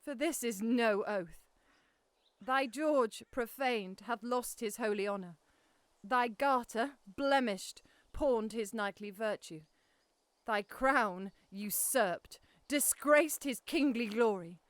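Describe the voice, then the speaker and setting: A woman's voice: fairly high pitch, slow, dry recording. An actress playing a widowed queen who has lost her sons, answering a king with scornful, defiant retorts in a Shakespearean audio drama.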